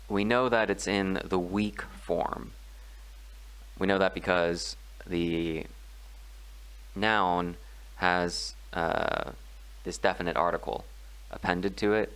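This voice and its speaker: Male tutor, low voice, unhurried and hesitant delivery, talking through a grammar lesson. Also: a bit bright, poor audio. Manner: slow, measured explaining with frequent ums and pauses. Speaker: language tutor. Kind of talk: screen-recorded grammar tutorial.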